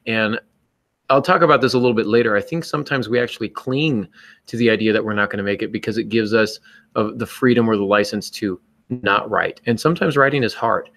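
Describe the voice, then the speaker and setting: A man's voice: on the low side, a bit bright. A man hosting a podcast, chatting casually with a bantering, joking manner. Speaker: podcast host. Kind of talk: casual live podcast chat.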